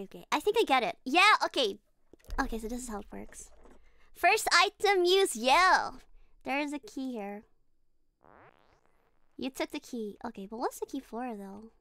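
A woman's voice: sits high, bright, forceful tone, no room sound. A woman streaming a horror game, chatting casually, giggling and talking to her character. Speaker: VTuber streamer. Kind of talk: casual gaming livestream chat.

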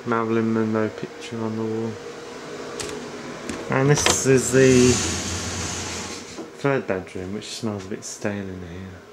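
A middle-aged man giving a casual, low-key, off-the-cuff house tour as he walks around indoors with a handheld camera. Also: fairly even intonation, slow, pauses often. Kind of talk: casual off-the-cuff narration while filming. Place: indoors, walking through rooms of a house. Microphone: handheld camera at arm's length. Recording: noisy handheld camera audio.

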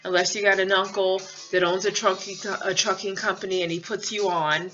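A woman's voice: mid-range voice, little pitch movement, fairly quick, sharp tone, dull audio, soft background music. A woman truck driver talking about trucker pay in a candid, sassy way, swearing now and then, recorded in her truck cab. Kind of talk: casual advice vlog.

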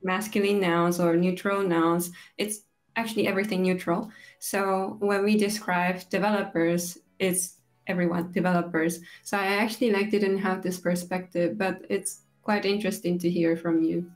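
A woman's voice: fairly low pitch, little pitch movement, normal speed, faint music behind. Young woman chatting on a video call, lots of likes and restarts. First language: Japanese. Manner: hesitant, many fillers and restarts. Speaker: co-host. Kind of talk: casual chat. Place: home room.

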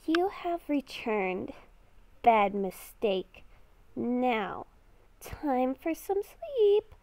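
A woman voicing calm, commanding, sinister captors in a slow, ominous manner in a game roleplay. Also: high voice, pitched below the performer's own voice, full character voice, poor audio. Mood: calmly menacing.

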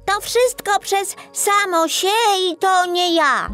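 A woman voicing a cheerful, kindly little star girl in a children's bedtime story, with a sing-song, expressive, childlike delivery. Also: extremely high pitch, takes it slowly, faint music behind, pitched above the performer's own voice, full character voice. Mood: eager.

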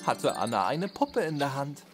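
A man narrating a children's bedtime audio story in a gentle storytelling manner. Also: medium pitch, sing-song, moderate pace, loud music behind the voice.

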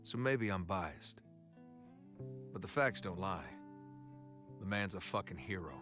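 An actor playing a commanding, sardonic American special forces captain in a video game, gruff and plain-spoken. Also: male voice, low-pitched, animated, a little slow, over music. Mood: reflective, grave. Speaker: army captain.

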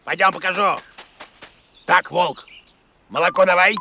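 Creaky, grumpy bearded cartoon creature. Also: male voice, high-pitched, a little slow, pushed and bright, exaggerated voice. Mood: confident, blustering. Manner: blustery, exclamatory, mock-scolding. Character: grumpy, muddle-headed bearded creature, a bumbling self-sure teacher. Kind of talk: children's cartoon acting.

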